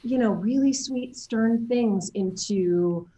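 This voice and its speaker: A woman chatting casually as an interview guest, talking in an effusive, animated storytelling way. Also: on the high side, slow pace, rough recording. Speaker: interview guest, game voice and motion capture actor.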